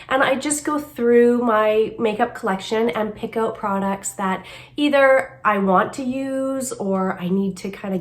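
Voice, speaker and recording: A middle-aged woman vlogging casually about her makeup at home, talking in a chatty, rambling way with a slightly nasal voice from a cold. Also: a little high. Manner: chatty, rambling, self-deprecating asides. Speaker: beauty vlogger. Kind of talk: casual chat to camera. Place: home, then moves upstairs to makeup desk.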